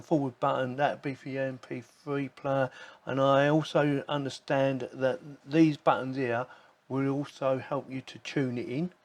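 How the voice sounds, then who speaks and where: Male reviewer, medium pitch, casual and rambling, talking through an unboxing as he goes. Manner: informal, rambling, thinking aloud. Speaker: host of a tech review channel.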